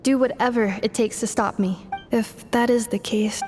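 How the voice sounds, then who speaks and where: A woman voicing a calm, earnest android maid in a video game cutscene, speaking in a plain, measured, matter-of-fact way. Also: on the high side, over music, lightly acted.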